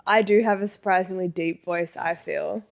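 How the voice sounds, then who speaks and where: A young woman co-hosting a podcast, dropping short teasing asides in relaxed chat. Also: on the low side, creaky. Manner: laid-back, teasing, quick asides. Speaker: podcast co-host. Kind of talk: casual chat.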